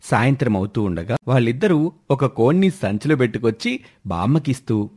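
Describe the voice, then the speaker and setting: A man narrating a scripted moral tale as an expressive storyteller. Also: mid-range voice, brisk, slightly rough.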